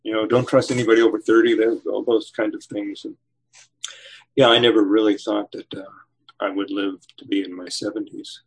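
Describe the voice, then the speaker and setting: A man in his seventies, a poet, reading a poem slowly and then answering interview questions with many pauses and fillers. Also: lowish voice, little pitch movement, breathy edge, rough recording. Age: 70s. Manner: slow measured reading, hesitant with fillers when chatting. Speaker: poet and novelist guest.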